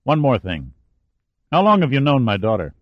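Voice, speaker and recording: A man's voice: mid-range voice, huge pitch swings, normal speed, no room sound. A man doing a brusque, commanding admiral. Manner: brusque, barking orders. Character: commanding, short-tempered older naval admiral. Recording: dull, muffled dry recording.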